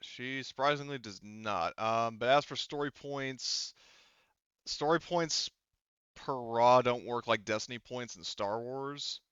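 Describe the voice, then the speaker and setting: A man narrating as game master of a tabletop roleplaying game in an informal, halting, improvised way. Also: medium pitch, fairly bright tone, smooth, no echo, dull audio. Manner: halting, informal, frequent "like" and "you know".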